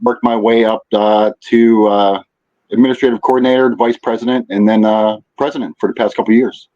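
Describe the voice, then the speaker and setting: A man from Pennsylvania recounting things casually as a podcast guest, pausing often, on a dull lo-fi microphone. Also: lowish voice, fairly even intonation, warm tone. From Pennsylvania, United States. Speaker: podcast guest, club leader.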